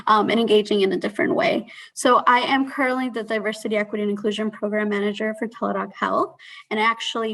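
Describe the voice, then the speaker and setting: A woman in her thirties speaking as a panelist on a video call, earnest and measured, talking through her career in a conversational way. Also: mid-range voice, poor audio.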